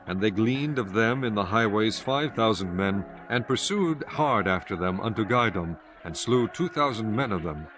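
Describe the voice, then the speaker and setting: A man narrating scripture for an audiobook in a formal, measured storytelling manner. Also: on the low side.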